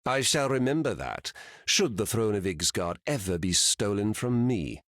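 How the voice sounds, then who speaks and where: A scheming video game royal, formal and theatrical. His low voice moves with lively, regal swings. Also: moderate pace, no echo, studio quality. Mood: sly. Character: scheming, regal male claimant to a throne. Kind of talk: video game character voice lines.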